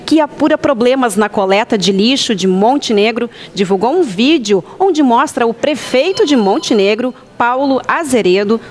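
Woman, 30s, news anchor, slightly high voice, steady scripted read, newsroom. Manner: steady scripted delivery, brisk questions. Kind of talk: live news presenting. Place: open-plan newsroom. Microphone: headset mic.

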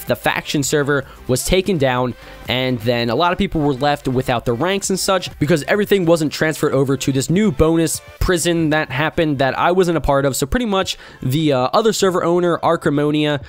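A young man with a hoarse voice from a sore throat, chatting excitably through casual gameplay commentary. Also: fairly high pitch. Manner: chatty, excitable, talks to opponents. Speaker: gaming YouTuber.

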